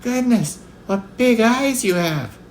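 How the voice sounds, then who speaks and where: A man's voice: high voice, animated, slow, roomy sound, a little hiss or hum, pitched above the performer's own voice, full character voice. A man voicing a sweet, naive little girl in a storybook, exclaiming and drawing out words. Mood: wondering.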